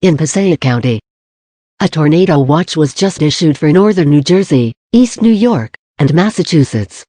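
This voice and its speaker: A woman reads a scripted news report as a reporter. Her voice is dark and mellow, and the sound is dry with no room around it. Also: low voice, expressive, normal speed, no room sound.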